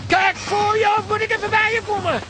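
A man's voice: very high-pitched, little pitch movement, brisk, crowd noise. An actor playing a fussy, easily indignant man who gives in reluctantly, in a Dutch radio comedy drama. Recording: music behind the voice.

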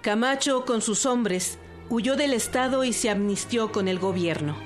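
A woman's voice: on the high side, measured pace. Woman narrator with faint music behind. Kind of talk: scripted documentary narration.

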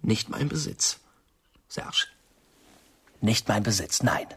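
A man's voice: on the low side, sing-song, slow, fairly bright tone, husky, whispered, exaggerated voice. An actor playing a bitter, possessive man in a radio drama, sarcastic and theatrical, moving between muttering and declaiming. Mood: wounded, insistent. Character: bitter, possessive man lecturing his old friends. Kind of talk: German radio drama acting.

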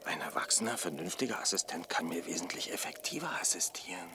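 An actor playing a fussy, blustering engineer who easily gets indignant, in a radio drama. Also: male voice, low voice, fast, sharp tone, whispered, full character voice. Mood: puzzled. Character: indignant, fussy male engineer, grandiose and calculating.

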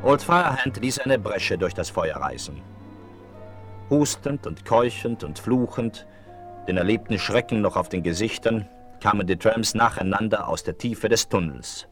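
Low-voiced male radio play narrator. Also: lively intonation, measured pace, soft background music. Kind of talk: dramatic scripted narration, 1970s radio play. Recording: noisy vinyl, loud train sound behind.

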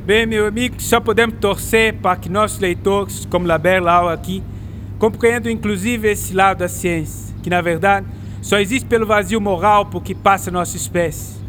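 Radio drama: a confident old-world French writer, high-voiced and formal, with traffic noise behind him. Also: male voice, steady tone, normal speed. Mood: earnest. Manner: assured and warmly formal. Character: confident, kindly male 19th-century French novelist.

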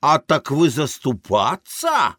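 A man's voice: wildly swooping pitch, slow pace, sharp tone, slightly rough, no room sound, clean studio sound, heavily acted. A man voicing a brash, streetwise woman of the port who exclaims and eggs on brawlers. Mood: pleading, inciting. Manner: shrill exclaiming, pleading and inciting.

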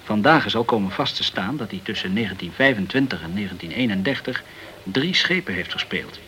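A man's voice: fairly low pitch, lively intonation, brisk, sharp tone. A man playing a polite, chatty journalist, peppering his talk with words, in a radio play. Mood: grave, informative. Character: polite, talkative French journalist in the tropical West Indies. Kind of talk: Dutch radio play acting.

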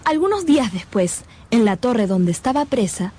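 A woman's voice: a little high, sing-song, moderate pace, dark tone, slight background noise. A woman narrator delivering dramatic scripted narration for a radio drama.

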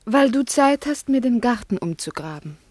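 Worried, earnest wife, dry sound. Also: female voice, a little high, lively intonation, moderate pace, a little mellow, no echo. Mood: restrained. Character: worried, earnest doctor's wife, gently insistent. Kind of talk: German radio play acting.

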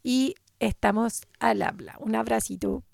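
A Chilean woman hosting a radio show in an upbeat, casual, slangy way. Her voice is heavy with gravelly vocal fry, and the sound is dry and close with no room around it. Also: on the high side, unhurried, darkish tone, no echo. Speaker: radio host.

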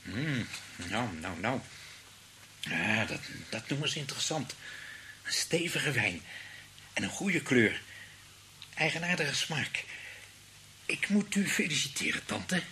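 Eager-to-please nephew, hesitant courtesy, lively intonation, bright tone, radio drama, slight hiss. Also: male voice, medium pitch, slow, long pauses, exaggerated voice. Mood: politely flattering. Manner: hesitant, overly polite. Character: obliging, ingratiating nephew, awkwardly polite. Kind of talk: radio play acting.

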